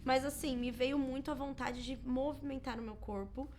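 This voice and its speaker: A woman in her thirties with a Brazilian Portuguese accent, co-hosting a podcast in casual, animated chat and acting out quotes as she tells stories. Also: high voice, normal speed, slight background noise. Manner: chatty, animated storytelling with acted-out quotes. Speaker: podcast co-host.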